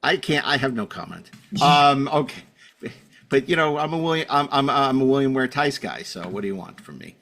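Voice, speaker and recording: A man's voice: mid-range voice, expressive, moderate pace, a bit bright. A man with a mid-range voice hosts a casual panel show. He is opinionated and argumentative, pressing each point with emphasis and circling back over his own phrases. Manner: emphatic, argumentative, repeats himself. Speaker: podcast host.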